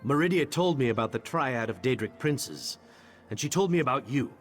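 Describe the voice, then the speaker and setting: An actor playing a charming, candid knight in a fantasy video game, wry and conversational, hesitant when confiding. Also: male voice, medium pitch, expressive, moderate pace, soft background music, lightly acted. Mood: reflective, wry. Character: charming, candid male knight champion of a goddess. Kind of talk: video game character dialogue.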